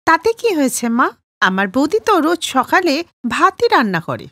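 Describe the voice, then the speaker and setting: A woman voicing a breezy, defensive young daughter-in-law, pert and emphatic, in an animated moral story. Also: high voice, normal speed, no room sound, heavily acted.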